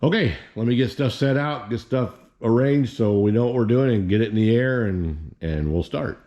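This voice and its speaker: A man's voice: mid-range voice, normal speed, mellow, highs cut off, a little hiss or hum. A sixty-year-old man from Indiana chatting casually in his garage vlog, rambling and folksy, with many restarts. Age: 60s. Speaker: garage vlogger. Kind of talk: casual how-to chat.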